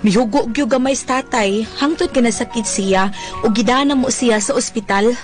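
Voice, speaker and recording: A woman's voice: a little high, normal speed, soft background music, lightly acted. A woman playing a worried, loving but exasperated daughter in a comic Bisaya radio drama, emotional and whining.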